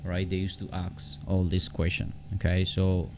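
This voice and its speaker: A low-pitched man walks through a software tutorial off the cuff. His voice has a gravelly creak, and he halts and repeats phrases as he goes. There is clear background noise on the recording. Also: monotone. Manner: halting, repetitive, often restarting phrases. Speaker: tutorial host.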